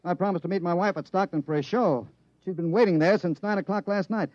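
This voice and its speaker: An actor playing a commanding, wry chief engineer in a 1950s radio drama, brisk and authoritative with dry sarcasm. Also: male voice, high-pitched, a little mellow, dry recording, close to an everyday voice. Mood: wry chagrin. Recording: muffled old radio broadcast.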